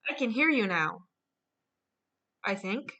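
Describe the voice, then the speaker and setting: A woman's voice: mid-range voice, animated, takes it slowly, pushed and bright, lo-fi sound. A woman chatting casually and tentatively in a group stream. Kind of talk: casual chat.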